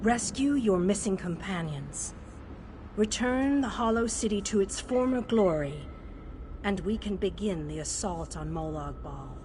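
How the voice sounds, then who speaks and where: An actress playing a solemn female mystical advisor in a fantasy game, speaking in a measured, formal, portentous way. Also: mid-range voice, lively intonation, noisy background, lightly acted. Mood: instructive, formal. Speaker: quest-giving advisor character. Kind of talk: fantasy game lore narration and dialogue.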